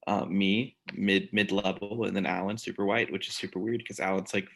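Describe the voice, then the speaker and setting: A man's voice: sits low, level tone, brisk, creaky. A man, co-hosting a casual podcast chat, rambling with many fillers and restarts. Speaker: podcast co-host.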